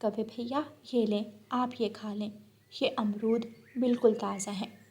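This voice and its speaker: Woman as a gentle, high-voiced bulbul. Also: steady tone, a little slow, slightly rough. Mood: kindly offering. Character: gentle, helpful village bulbul bird. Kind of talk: children's cartoon story acting.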